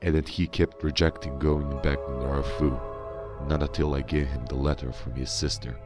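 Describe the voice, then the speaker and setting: Very deep-voiced man narrating. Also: fairly even intonation, normal speed. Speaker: gamer narrating as his character. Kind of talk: in-character recap narration. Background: music.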